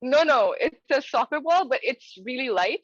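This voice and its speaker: A woman's voice: high-pitched, animated, normal speed, lo-fi sound. A young woman from Pakistan, a residency applicant, answering mock interview questions earnestly with frequent hesitant fillers and restarts over a video call.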